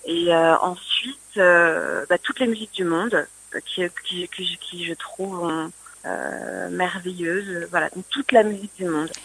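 Bright-voiced hesitant woman singer. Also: fairly low pitch, a little slow, creaky, husky, low-quality recording. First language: French. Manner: hesitant, many fillers and restarts. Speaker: singer-songwriter guest. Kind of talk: radio interview chat.

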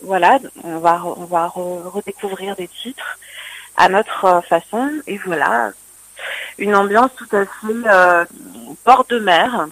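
A woman's voice: mid-range voice, takes it slowly, a bit bright, no echo, poor audio. A woman singer-songwriter being interviewed on the radio, hesitant and full of fillers and restarts. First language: French.

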